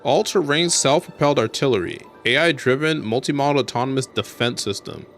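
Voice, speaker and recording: A man's voice: mid-range voice, fairly quick, a bit bright, faint music behind. A man giving casual, slangy commentary as he plays through a video game. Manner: slangy, colloquial. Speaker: streamer playing a video game.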